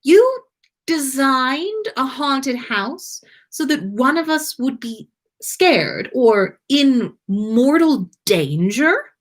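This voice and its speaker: A woman puts on a haughty teenage boy wizard for a storybook scene. He sounds high and emphatic, stretching words out with swooping pitch. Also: sing-song, very slow, exaggerated voice. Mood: incredulous.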